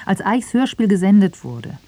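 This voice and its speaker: A woman's voice: medium pitch, sing-song, moderate pace, mellow, no echo. A woman reading scripted radio documentary narration in a matter-of-fact manner. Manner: matter-of-fact, clearly phrased.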